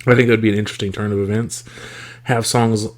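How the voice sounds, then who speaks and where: A man hosting a podcast, talking casually and thoughtfully with a rough, congested, scratchy voice from a cold. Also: fairly low pitch, flat delivery, fast talker. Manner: reflective, thoughtful, with frequent fillers. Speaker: podcast host. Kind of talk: casual solo podcast talk.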